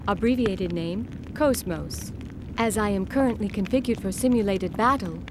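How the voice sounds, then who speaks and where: An actress playing a polite, literal female combat android in a video game, speaking in a measured, flat, formal way. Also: mid-range voice, noisy background, close to an everyday voice.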